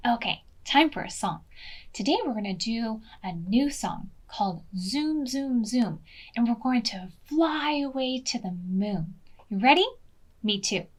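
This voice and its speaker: A woman with a fairly high, swooping voice reads a children's story aloud. She phrases deliberately and expressively, dropping into hushed asides as a storytime host would for preschoolers. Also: expressive. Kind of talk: animated picture-book read-aloud for young children.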